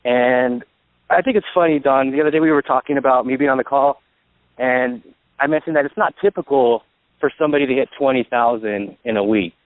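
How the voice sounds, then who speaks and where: A man's voice: medium pitch, fairly quick, no room sound. A man giving instructional sales training as an agent, explaining his methods in a plain-spoken, step-by-step way. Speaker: insurance sales agent and trainer, guest speaker. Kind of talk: instructional sales talk on a call. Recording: dry, tinny phone line.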